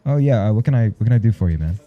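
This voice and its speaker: A man playing a flustered, jealous professor in an intimate audio roleplay, speaking haltingly and gruffly with a gravelly voice and plenty of swearing. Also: fairly low pitch, animated, muffled recording, lightly acted. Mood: irritated, dismissive. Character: jealous, flustered male professor smitten with his teaching assistant.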